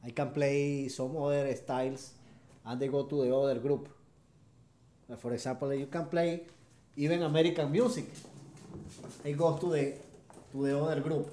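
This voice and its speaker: A man from Venezuela with a Venezuelan Spanish accent, a harp player answering interview questions in halting English, often repeating short yeses. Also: mid-range voice, level tone, warm tone, roomy sound, a little hiss or hum.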